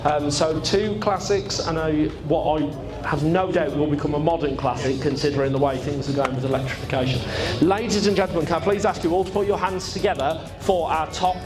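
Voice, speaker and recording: A man's voice: on the high side, steady tone, fairly quick, few pauses, music in the background. A man compering an outdoor car show award, coaxing and rallying the crowd, with a chesty cough. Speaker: car show presenter and video host.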